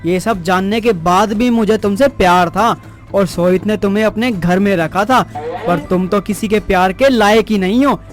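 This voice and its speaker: Man playing a high-voiced blunt young suitor. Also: moderate pace, soft background music, close to an everyday voice. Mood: reproachful. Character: lovestruck, blunt young man, husband's friend.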